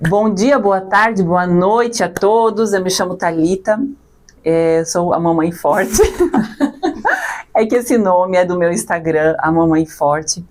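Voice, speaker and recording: A woman's voice: fairly low pitch, slow, a little mellow. A woman in her thirties from southern Brazil with a Santa Catarina accent touched by gaúcho speech, speaking reflectively as a podcast guest. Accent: Santa Catarina Brazilian Portuguese with some gaúcho. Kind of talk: casual podcast conversation.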